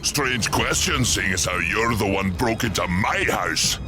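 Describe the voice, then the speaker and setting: An actor playing a surly, sardonic old giant hermit blacksmith, in a video game cutscene. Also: male voice, on the low side, expressive, unhurried, over music. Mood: wry. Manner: folksy, colloquial, sardonic growling.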